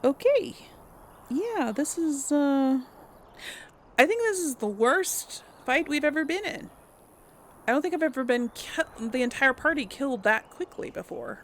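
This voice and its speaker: A woman commentates casually on a video game as she plays, thinking out loud. Her voice sits fairly high and swings a lot in pitch. She sounds exasperated, and there is faint noise behind her. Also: lively intonation, unhurried. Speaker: host playing a video game. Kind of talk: casual let's play commentary.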